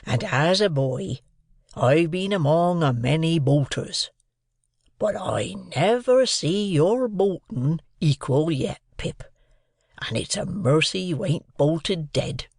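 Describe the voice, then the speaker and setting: A man doing a gentle simple-spoken working man. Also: fairly high pitch, animated, dry recording, studio quality, voice pushed up, full character voice. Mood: earnest. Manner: slow, earnest, homely country speech.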